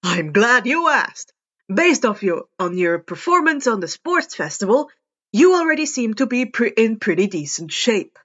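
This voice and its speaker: A woman's voice: a little high, very animated, moderate pace, fairly bright tone, lo-fi sound. A woman as an encouraging, emphatic male teacher. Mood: encouraging, earnest. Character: upbeat adult male hero teacher, encouraging, earnest.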